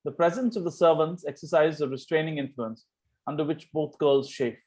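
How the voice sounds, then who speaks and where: This is a narrator for a play read-through, with a middling pitch. The delivery is scripted narration, setting out stage directions between the characters' lines. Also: brisk, a little mellow.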